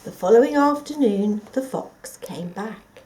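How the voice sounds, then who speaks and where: Female children's story reader: expressive, highly animated narration at a slow pace. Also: a little hiss or hum, low-quality recording.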